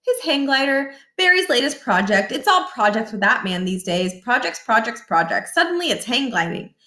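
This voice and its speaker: A woman's voice: on the high side, sing-song, moderate pace, bright, forceful tone, reverberant, voice pushed up. A woman voicing a polite, chatty, long-suffering wife and mother in a children's storybook, genteel and faintly exasperated.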